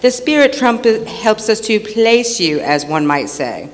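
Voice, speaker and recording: A woman's voice: mid-range voice, very animated. A woman playing a pompous, courteous royal official of Britain with a formal, officious manner in an old-time radio stage play. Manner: formal, officious, measured wording. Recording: echoey hall, faint noise.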